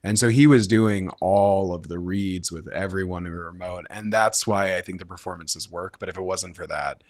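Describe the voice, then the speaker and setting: A man's voice: low voice, normal speed, darkish tone, dry recording, studio quality. A man talking casually in a panel discussion. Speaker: audio drama showrunner on a panel.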